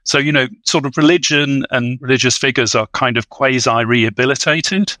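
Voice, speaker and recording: Bright, articulate scholarly man, dry sound. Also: mid-range voice, moderate pace, dry recording. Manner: articulate, scholarly, bookish vocabulary. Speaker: podcast panelist. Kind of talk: analytical film discussion.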